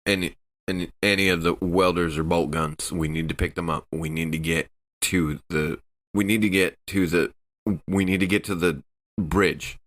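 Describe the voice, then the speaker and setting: Man playing a deep-voiced, urgent spaceship crewman. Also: steady tone, a bit bright. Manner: halting, repeating himself.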